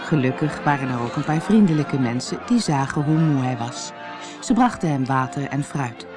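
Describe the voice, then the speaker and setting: A storyteller reading a scripted children's fairy tale in an expressive, dramatic way. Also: medium pitch. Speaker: storyteller, audiobook narrator.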